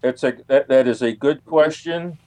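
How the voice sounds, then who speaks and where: A man explaining things as an interview guest, in a halting way that often repeats words. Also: medium pitch, a little mellow, no echo. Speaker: guest, UFO researcher and author.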